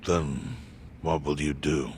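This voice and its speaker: An actor playing a calm, solemn older yakuza clan chairman in a video game, speaking in a measured, deliberate way. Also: rumbling low, slight background noise. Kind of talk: video game cutscene dub acting.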